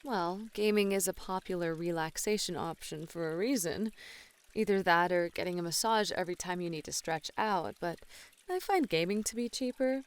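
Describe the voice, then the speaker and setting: A woman's voice: on the low side, lively intonation, moderate pace, clean tone. Woman acting a flirty, teasing model in ASMR roleplay. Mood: relaxed, chatty. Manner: flirty, teasing, sweet-talking. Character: flirty, playful female model and gamer relaxing in an onsen.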